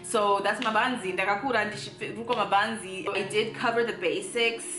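A young woman talks through a hair tutorial in a casual, upbeat way. Her voice carries some bedroom echo. Soft music plays quietly underneath. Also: mid-range voice, fairly even intonation, normal speed. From Zimbabwe. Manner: chatty, upbeat, playful asides. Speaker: natural hair vlogger. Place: bedroom, indoors.

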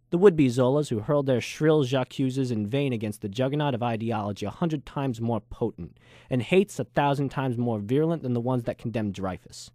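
A man's voice: mid-range voice, dark tone, dry recording, studio quality, close to an everyday voice. A man playing a cynical, world-weary ex-journalist who narrates a hardboiled noir radio drama in slangy, wry style. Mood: brooding, world-weary.

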